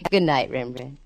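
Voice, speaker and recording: A woman's voice: rumbling low, heavily acted. An actress playing a cool, wisecracking female private eye in a 1940s radio drama, talking and narrating in a wry, hard-boiled manner. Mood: wry, casual. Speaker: lead actress in radio detective show. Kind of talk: 1940s radio acting, first-person narration. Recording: vintage 1940s radio recording.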